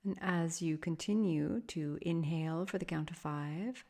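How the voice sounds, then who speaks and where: Low-pitched woman guiding a meditation. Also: gravelly. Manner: soft, soothing, measured with long pauses. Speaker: yoga nidra meditation teacher. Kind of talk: guided meditation.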